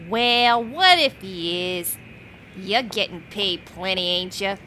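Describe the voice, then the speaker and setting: A woman acting a tough gangster's moll in a vintage radio crime play. She is curt, slangy and brassy, with a clear vehicle rumble behind her. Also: slow, sharp tone, poor audio. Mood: sardonic, bossy. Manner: slangy, curt, hard-boiled.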